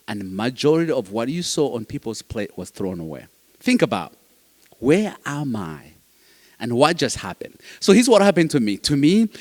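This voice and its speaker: A man with a Ugandan accent gives a personal talk as a guest speaker, his English a later language. He is emphatic, repeating phrases for weight. His mid-pitched voice rings with long hall reverb. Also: a little mellow. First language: not English, which is a fourth language. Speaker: guest speaker, foster care advocate. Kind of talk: personal motivational talk to an audience.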